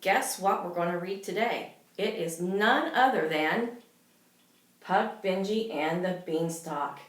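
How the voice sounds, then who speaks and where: A woman reading a children's story aloud as its author, in deliberate, expressive scripted narration. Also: fairly low pitch.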